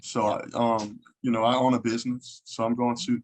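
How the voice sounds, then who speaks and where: A man's voice: mid-range voice, little pitch movement, normal speed, low-quality recording. A man in his thirties, a podcast guest talking laid-back and casually over a video call, calling people man. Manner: laid-back, says man a lot, occasional swearing. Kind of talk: casual conversation.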